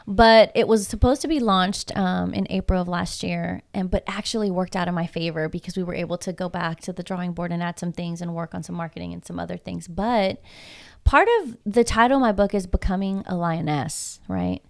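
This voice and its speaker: A woman's voice: on the low side, steady tone, fairly quick, gravelly. A woman from Houston, Texas, whose first language is Spanish, talking as a podcast guest, emphatic and reflective and full of rhetorical questions. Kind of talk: casual podcast conversation.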